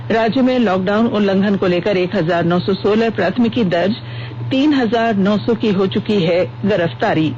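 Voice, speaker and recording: A woman reads the radio news in a formal, measured way. The recording is muffled and lo-fi, with faint hum and noise behind her. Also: mid-range voice, darkish tone. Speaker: news reader. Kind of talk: scripted radio news bulletin reading.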